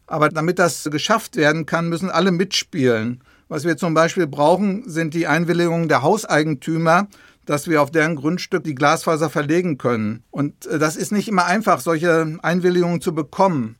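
A man's voice: on the high side, a bit bright, no echo, clean studio sound. A man explaining things patiently and plainly to young listeners as an expert guest in an interview. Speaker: government official, expert guest.

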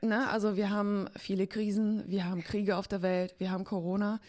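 A woman's voice: medium pitch, little pitch movement, brisk, a little mellow. A woman from Poland and eastern Germany, a guest in a casual podcast chat, talking candidly and laughing often. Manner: chatty, candid, laughs often, many fillers. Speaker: podcast guest, agency co-founder.

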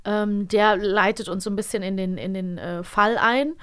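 A woman's voice: mid-range voice, normal speed, a bit bright, smooth. A woman co-hosting an audio magazine, chatting in an informal, playful way. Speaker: magazine show co-host. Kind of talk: casual chat about audio dramas.

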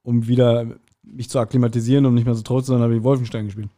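A man in his forties talking casually and nostalgically as a podcast co-host, telling stories in an animated, self-deprecating way. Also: mid-range voice, rapid-fire. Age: 40s.

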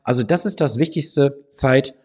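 A man's voice: medium pitch, measured pace, darkish tone, breathy edge. A man with a slight cold, hosting a finance podcast and giving direct, persuasive advice to the listener from his office. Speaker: financial advisor and podcast host. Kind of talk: direct-to-camera advice monologue.

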